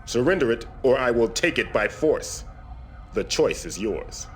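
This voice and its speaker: A man's voice: medium pitch, animated, a little slow, soft background music, natural voice. A man playing a dutiful young Klingon captain in a video game, speaking formally, firmly and with restraint. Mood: resolute. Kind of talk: video game cutscene acting.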